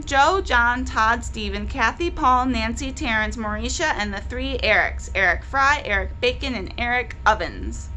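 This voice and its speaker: A woman reads a children's story aloud with a bright, high voice. Her delivery is lively and expressive, pitched at young listeners, over clear background noise. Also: normal speed, few pauses, low-quality recording. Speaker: library storyteller.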